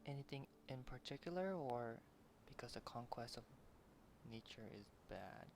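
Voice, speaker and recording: A man's voice: mid-range voice, animated, moderate pace, gravelly, a little hiss or hum. A man streaming a game, chatting and reading the player's lines aloud with side remarks. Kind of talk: casual game commentary. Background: game music.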